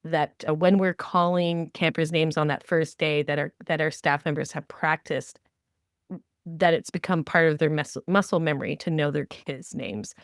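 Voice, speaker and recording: Woman podcast cohost, lowish voice, chatty advice, repeats stuff for emphasis, dry sound. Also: steady tone, normal speed, no echo. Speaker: podcast co-host. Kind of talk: conversational advice on camp staff training.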